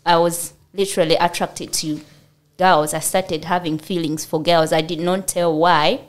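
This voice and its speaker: A woman's voice: fairly low pitch, flat delivery, normal speed. A woman from Uganda with a Ugandan accent, telling her life story as a podcast guest in a hesitant way with many fillers. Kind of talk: personal storytelling in an interview.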